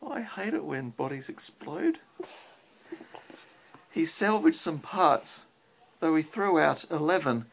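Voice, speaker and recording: An Australian man with a husky, creaky voice, reading a picture book aloud and chatting simply with a child as a parent would. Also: on the high side, big pitch swings, slow pace, long pauses, a little hiss or hum, rough recording.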